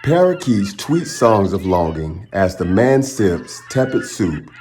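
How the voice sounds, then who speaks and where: Male kids' storytime host, lowish voice, very lively intonation, encouraging and expressive. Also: takes it slowly, a little hiss or hum. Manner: encouraging, expressive, addresses children directly. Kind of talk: children's read-aloud and upbeat chat to young viewers.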